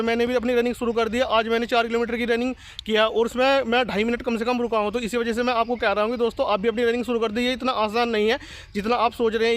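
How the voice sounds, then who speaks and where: High male voice, rapid delivery, informal YouTube advice, insistent, repetitive urging. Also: fairly bright tone. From rural India. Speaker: YouTube study channel host. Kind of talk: informal advice to exam aspirants, addressed to viewers.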